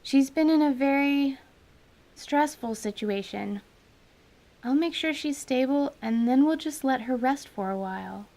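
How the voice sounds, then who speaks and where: A woman's voice: high-pitched, unhurried, a little mellow, voice pushed up. A woman voicing a careful pale young adventurer, speaking in a hesitant, practical way.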